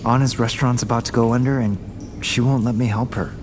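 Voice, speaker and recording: A man's voice: mid-range voice, fairly even intonation, quick pace, background noise, close to an everyday voice. An actor playing a wistful young man getting over a breakup, in an audio drama. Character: heartbroken young man missing his ex.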